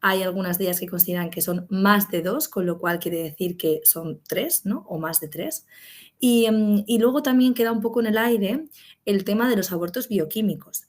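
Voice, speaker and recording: A woman's voice: mid-range voice, moderate pace. Woman doctor giving a casual medical talk. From Spain. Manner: conversational, frequent fillers and tag questions. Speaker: gynecologist and clinic medical director. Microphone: video call.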